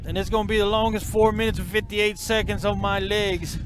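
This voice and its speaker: Male play-by-play caller, high pitched, folksy and chatty, outdoor stadium. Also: little pitch movement, a little fast, a bit bright, a little hiss or hum. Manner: folksy, joking, addresses the folks. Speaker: play-by-play announcer. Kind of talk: high school football commentary. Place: outdoors at a football game.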